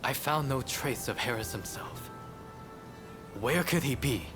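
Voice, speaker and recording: An actor playing an earnest, cautious samurai scholar in a video game, speaking formally and articulately. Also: male voice, medium pitch, animated, a little slow, lightly acted. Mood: wary, reluctant. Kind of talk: video game cutscene acting. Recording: background music under the voice.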